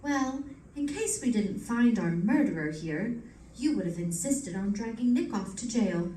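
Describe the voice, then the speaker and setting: A woman's voice: mid-range voice, expressive, a little slow, dark tone, close to an everyday voice. A woman playing a poised, witty detective's wife in a live radio play, with a dry, teasing manner. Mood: arch amusement. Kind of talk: live old-time radio drama acting. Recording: roomy stage, distant mic, faint noise.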